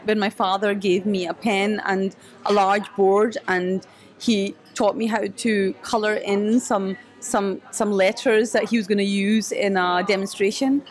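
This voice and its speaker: A woman answering interview questions in a cafe, thoughtful and hesitant, with many restarts. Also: mid-range voice. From Scotland. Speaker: guest, photographer and activist.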